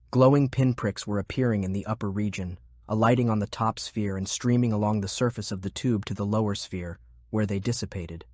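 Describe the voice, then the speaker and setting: A man reading scripted fiction narration in a measured, deliberate way. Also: lowish voice, a little mellow, no echo, clean studio sound. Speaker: audiobook narrator. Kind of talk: scripted narration of a fantasy war novel.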